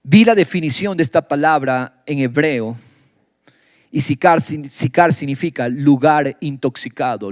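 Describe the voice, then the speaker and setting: A man from Ecuador preaching a sermon in Ecuadorian Spanish, moving between loud exclamations and low, quiet stretches. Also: no room sound, studio quality. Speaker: pastor. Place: church.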